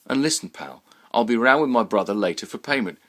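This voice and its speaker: A man's voice: mid-range voice, expressive, a bit bright, voice pushed up, natural voice. A man voicing a blunt, stubborn sign maker in a read-aloud story, speaking with slangy emphasis. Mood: belligerent. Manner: blunt, slow and emphatic, informal. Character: stubborn, truculent tradesman, a sign maker, father.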